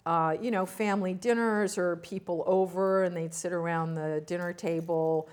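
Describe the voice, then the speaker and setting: A woman's voice: lowish voice, fairly even intonation, a little mellow. An older woman, born in the US to Ukrainian-speaking parents, telling stories about her childhood as an interview guest, with frequent fillers, restarts and small laughs. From United States. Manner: halting, many fillers and restarts, laughs at herself. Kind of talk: reflective personal storytelling.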